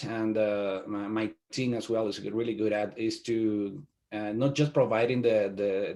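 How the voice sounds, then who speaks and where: A middle-aged man speaking English with a Venezuelan Spanish accent, his voice sitting on the low side. He talks haltingly and keeps restarting his sentences with fillers, like an easygoing interview guest. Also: a little mellow. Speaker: guest, IT company CEO. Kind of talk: casual interview answers.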